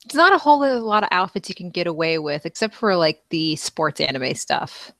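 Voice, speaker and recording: A woman's voice: lowish voice, big pitch swings, a little fast, sharp tone, no room sound. A woman co-hosting a casual podcast, chatty and agreeable, laughing easily. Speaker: podcast co-host. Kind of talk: casual chat.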